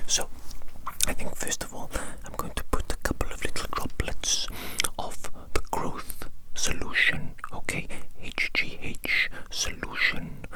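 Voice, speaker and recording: Breathy whispering ASMR evil doctor. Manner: repeats phrases softly, coaxing. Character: doting, chaotic evil doctor experimenting on a tiny organism. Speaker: ASMR performer.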